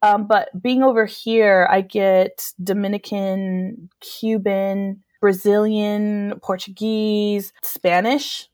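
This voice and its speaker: Woman co-host, mid pitch, dry close sound, relaxed upbeat chatter. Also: slow pace, hardly any pauses, no echo. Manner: relaxed, upbeat, uses fillers like "um" and "for sure". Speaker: podcast co-host. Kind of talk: casual conversational podcast.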